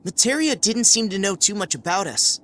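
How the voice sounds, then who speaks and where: Woman acting a lively, inquisitive young heroine. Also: medium pitch, normal speed, slight background noise, natural voice. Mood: pondering. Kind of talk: video game cutscene acting.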